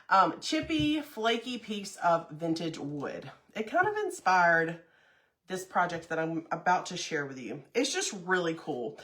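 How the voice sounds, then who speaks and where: A chatty middle-aged woman, her voice stuffy from a cold. She talks in a bubbly, casual way and greets the people watching by name. Her pitch sits in a middle range for a woman. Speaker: craft livestream host. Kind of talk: casual livestream chat with viewers.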